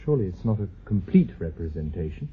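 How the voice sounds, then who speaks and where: An actor playing a polite, cautious young mathematician with a British accent, formal and hesitant, in a radio drama. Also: male voice, deep voice, sing-song, quick pace, exaggerated voice. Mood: earnest. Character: earnest, polite young male mathematician newly arrived, cautious. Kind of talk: BBC radio drama acting. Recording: phone-like lo-fi radio recording, clear hiss.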